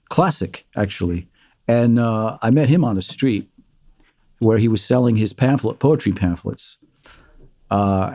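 Mellow-voiced man telling stories. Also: lowish voice, no room sound, clean studio sound. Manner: animated storyteller, acts out quotes, many fillers. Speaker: guest, musician. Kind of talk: conversational interview storytelling.

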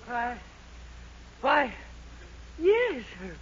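An American actress playing a cowed, grudgingly obedient wife in a 1940s radio sitcom. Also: female voice, high voice, very animated, very slow, exaggerated voice. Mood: forced meekness. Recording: noisy, muffled 1940s radio with loud audience.

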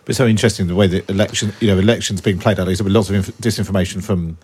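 A man hosting a political podcast, steering the discussion in a brisk way. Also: sits low, steady tone, a little mellow, slight background noise. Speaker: podcast host.

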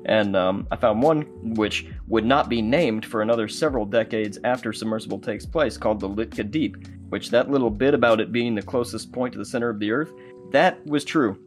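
A man's voice: on the low side, a little fast. A man chatting casually as an audio drama creator, acting out remembered exchanges as he tells behind-the-scenes stories. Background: guitar music.

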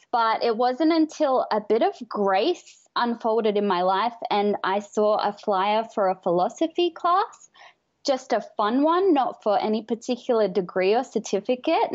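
An Australian woman, a life coach, telling her story in a bright, chatty way as an interview guest. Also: a little high, muffled recording. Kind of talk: interview answers.

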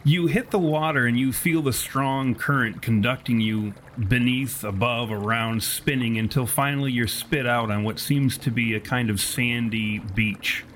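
A man narrating a tabletop horror game as game master, in descriptive, deliberate, self-correcting storytelling. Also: medium pitch. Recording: steady background noise.

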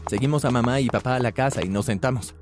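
A man narrating a scripted first-person story with animated delivery, shifting his pitch when he voices the characters. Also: fast, over music.

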